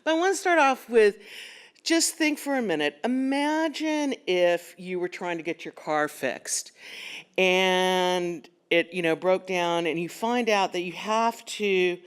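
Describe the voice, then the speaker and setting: A woman's voice: on the low side, a little slow, smooth. A woman clinical psychologist, giving a conversational conference talk with frequent ums and self-corrections.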